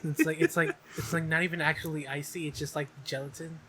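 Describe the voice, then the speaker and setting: A man's voice: a little high, flat delivery, fast talker, sharp tone, slightly rough, poor audio. A man co-hosting a podcast, chatting casually and comically with insistent, profane riffing. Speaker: podcast co-host. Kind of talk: casual comedic banter.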